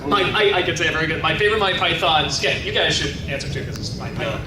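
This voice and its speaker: A man in his thirties with a high-pitched voice, chatting casually as he answers audience questions at a convention panel, full of fillers and restarts. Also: animated, fast talker, distant mic, over a noisy crowd. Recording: echoey convention hall from afar, noisy.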